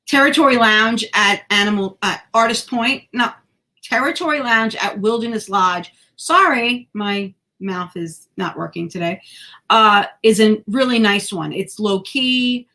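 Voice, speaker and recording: A woman's voice: mid-range voice, sharp tone. Chatty woman co-hosting a podcast. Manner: chatty, stumbles over words, self-deprecating. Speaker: podcast co-host. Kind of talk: casual chat.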